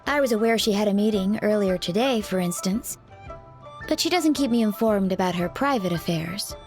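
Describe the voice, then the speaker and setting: Woman playing a composed, polite undertaker, medium-pitched. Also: lively intonation, moderate pace, a little mellow, soft background music, natural voice. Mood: polite, reserved. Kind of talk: video game character dialogue.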